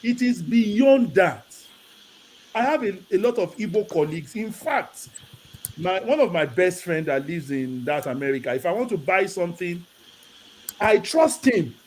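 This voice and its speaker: Man from Nigeria, high voice, animated, calling in, faint hiss behind. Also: a little slow. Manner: animated storytelling, mixing in Yoruba phrases. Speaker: caller. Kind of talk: casual call-in chat. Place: lives in the UK.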